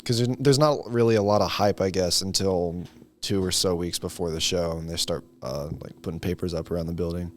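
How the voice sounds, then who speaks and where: A young man in his late teens with a low-pitched voice. He chats casually as a guest. His manner is understated and a little hesitant. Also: a little fast. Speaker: high school senior guest. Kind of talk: casual chat.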